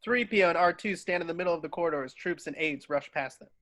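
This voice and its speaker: A man with a bright, forceful and fairly high voice narrates a screenplay. He reads stage directions and clipped scene headings into a close, very dry home microphone. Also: quick pace, no echo. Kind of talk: scripted narration.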